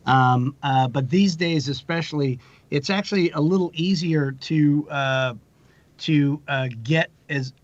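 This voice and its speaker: A man's voice: fairly high pitch, highs cut off. A man speaking as a producer guest in a casual interview, rambling through anecdotes and explanations.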